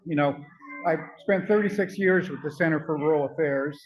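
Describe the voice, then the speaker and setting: An older man with a soft voice, giving an earnest, measured conference talk with frequent ums and uhs. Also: a little high, slight background noise, low-quality recording. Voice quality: soft-spoken. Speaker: conference speaker. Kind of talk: prepared talk at a symposium.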